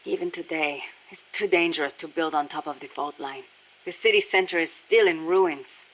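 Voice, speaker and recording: Nicaraguan rebel lady from a video game, spanish accent, lowish voice, serious and passionate, bitter about her country. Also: normal speed, fairly bright tone, rough recording. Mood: resolute. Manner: earnest, solemn storytelling. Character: passionate, embittered young Nicaraguan Sandinista guerrilla woman. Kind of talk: scripted video game voice acting.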